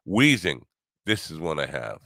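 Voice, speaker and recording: Older guy rambling on his podcast, real deep grumbly voice, dry and wry. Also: very animated, unhurried, creaky, sharp tone, dry recording. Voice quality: grumbly and low. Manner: wry, self-mocking. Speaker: podcast host. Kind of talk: rambling solo monologue.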